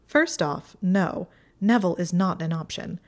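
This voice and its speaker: A woman's voice: fairly low pitch, animated, unhurried. A woman voicing a clever young witch who speaks in a dry, matter-of-fact way.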